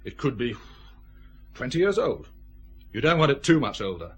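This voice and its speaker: An actor playing a genial, proud boss of a small firm, chatty and expansive in an audio drama. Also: male voice, mid-range voice, big pitch swings, normal speed, dull audio, a little hiss or hum, natural voice. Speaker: actor in a radio detective drama.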